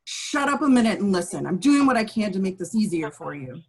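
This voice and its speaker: A woman's voice: mid-range voice, very animated, a little fast. A woman playing a worried, defensive male city administrator in an amateur script read, terse and protesting.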